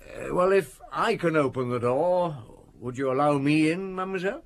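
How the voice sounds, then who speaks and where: An actor playing a courteous, gruffly patient middle-aged chief inspector in an audio drama, asking questions with polite hesitation. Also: male voice, on the high side, normal speed, lightly acted. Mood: polite, insistent.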